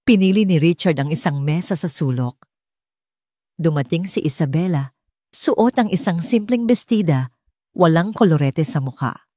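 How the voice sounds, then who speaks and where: A woman's voice: sits low, a little mellow, no room sound, clean studio sound. A woman narrating a scripted romance audiobook.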